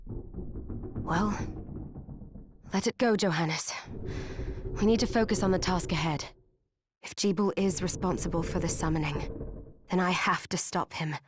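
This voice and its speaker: A woman's voice: fairly low pitch, unhurried, pauses often, natural voice. An actress playing a resolute, dutiful young female fighter in a fantasy video game, with an earnest, determined delivery. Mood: resolute, reassuring. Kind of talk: dramatic video game voice acting. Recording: noisy game sound behind voice.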